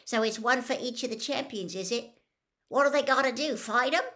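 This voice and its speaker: Woman doing a big gentle man, rustic plain talk, storybook, slightly high. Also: a little fast. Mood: curious. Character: huge gentle man, awestruck and rough-spoken.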